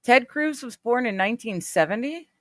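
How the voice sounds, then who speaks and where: A woman's voice: a little high, expressive, moderate pace, fairly bright tone, no room sound, clean studio sound. Chatty woman in her forties. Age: 40s. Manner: chatty, laughs often, self-deprecating. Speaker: streamer chatting with friends. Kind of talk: casual chat.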